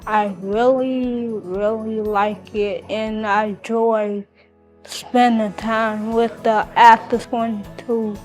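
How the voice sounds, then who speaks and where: A woman's voice: fairly high pitch, fairly even intonation, slow, soft background music, low-quality recording. A young woman in her twenties speaking in short, simple sentences about her program. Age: 20s. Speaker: program student.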